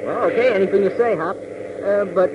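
An actor playing an eager, wheedling young flying student in 1940s radio serial style. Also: male voice, sits high, moderate pace, natural voice. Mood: coaxing. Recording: dull 1940s radio, airplane noise.

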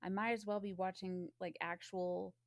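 A woman co-hosting a podcast in casual chat, emphatic, sardonic and quick to swear. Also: lowish voice, flat delivery, no room sound. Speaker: podcast co-host.

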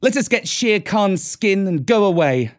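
A man's voice: high voice, big pitch swings, measured pace, pitched above the performer's own voice. A man voicing a proud, scornful young boy raised by wolves, in archaic, declamatory storybook speech. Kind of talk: storybook character voice.

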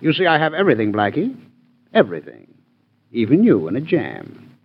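A man's voice: mid-range voice, huge pitch swings, brisk, slight background noise. An actor playing a sneering, mocking villainous art collector who savors his words in a 1940s radio crime drama. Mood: gloating, mocking. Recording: dull old 1940s radio recording.

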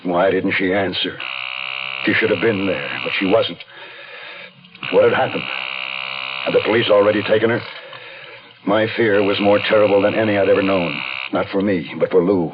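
An actor playing a desperate, wounded gambler on the run, narrating tersely and broodingly in a 1970s radio noir drama. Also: male voice, sits low, steady tone, normal speed, pauses often, background noise, lightly acted. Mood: tense, uneasy. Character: desperate hunted male gambler, wounded, narrating his downfall. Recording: dull 1970s radio recording, music underneath.

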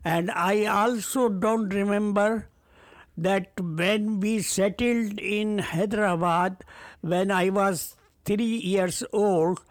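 A man in his seventies with a Pakistani accent, a native Urdu speaker, answering his son's interview questions in a halting, formal way full of fillers. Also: high-pitched, fairly even intonation, no echo. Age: 70s. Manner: hesitant, halting, many fillers, formal phrasing. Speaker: interview guest. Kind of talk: family interview.

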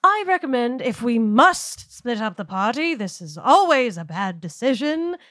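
A woman's voice: a little high, big pitch swings, a little slow, a bit bright, no room sound, studio quality. A woman playing a genteel, fussy, cautious madam adventurer with formal, prim phrasing in a tabletop game.

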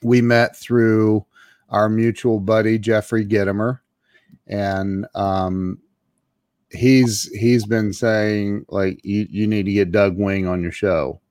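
A man's voice: on the low side, steady tone, takes it slowly, a little mellow, no room sound, studio quality. A man hosting an interview show, casual and enthusiastic, often repeating words as he goes. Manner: enthusiastic, repeats words and says wow a lot. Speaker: show host.

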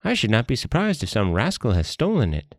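A man voicing a clever, excitable, sly monkey in a storybook tale, with exclamatory, old-fashioned phrasing. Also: mid-range voice, brisk, no room sound, clean studio sound, voice pushed up. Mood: feigning concern. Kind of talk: storybook character voice.

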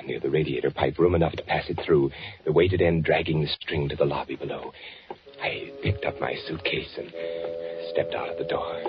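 A hunted spy in a 1940s radio thriller. The voice is very low, brooding and deliberate, edged with fear. Loud music plays behind the muffled vintage recording. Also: male voice. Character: hunted male spy, tense, fearful, brooding. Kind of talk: 1940s radio thriller acting, first-person narration.

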